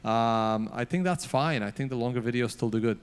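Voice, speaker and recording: A man's voice: medium pitch, clear, resonant tone. A man speaking as a YouTuber on a conference panel, hesitant with many fillers, into a handheld mic on a large hall stage. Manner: halting, many fillers and restarts. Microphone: handheld microphone.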